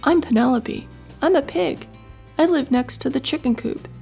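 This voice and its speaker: A woman's voice: high voice, moderate pace, gravelly, darkish tone, faint music behind, voice pushed up. A woman voicing a kind, brave young female pig in a storybook, earnest and eager.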